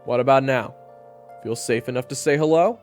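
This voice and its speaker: A man's voice: medium pitch, moderate pace, faint music behind, voice pushed up, lightly acted. A man playing a wary, sardonic gunslinger who talks in a bold, casual, challenging way in an audio roleplay. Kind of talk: audio roleplay drama acting.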